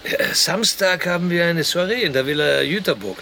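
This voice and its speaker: A man's voice: a little high, moderate pace, creaky, sharp tone, a little hiss or hum. An actor playing a put-upon, kindly servant in his fifties in a radio play, with a polite, hesitant, earnest manner. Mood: friendly, bashful. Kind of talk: naturalistic radio play acting.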